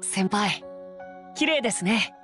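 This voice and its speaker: A man's voice: high voice, animated, takes it slowly, pushed and bright, full character voice. A man playing a shy, earnest teenage boy in an anime visual novel game, speaking hesitantly and politely with an eager manner. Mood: flustered, shy. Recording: background music under the voice.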